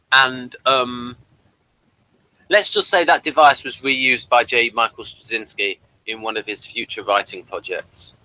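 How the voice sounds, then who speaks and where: Lively, bright-voiced man in casual review chat. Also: mid-range voice, rough recording. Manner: halting, digressive. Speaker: co-host of a TV review show. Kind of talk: casual review chat with some reading aloud.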